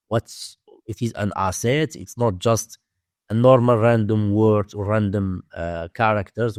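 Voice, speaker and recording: Low-voiced Moroccan man, halting English. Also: dry recording, studio quality. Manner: halting, searching for words in non-native English. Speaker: interview guest, domain investor and teacher. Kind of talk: conversational interview answers.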